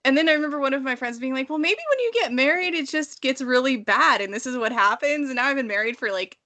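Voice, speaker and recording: A woman's voice: sits high, lively intonation, fast talker, sharp tone. A woman in her thirties co-hosting a casual book discussion, speaking in an emphatic, exasperated way. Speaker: podcast co-host; romance writer.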